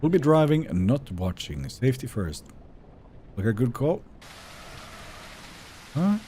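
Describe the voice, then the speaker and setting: Lively chatty man, mellow voice. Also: mid-range voice, unhurried, slight background noise. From Sweden. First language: Finnish. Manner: chatty, reads viewer messages aloud and answers them. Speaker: game streamer. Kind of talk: casual live-stream chat while gaming.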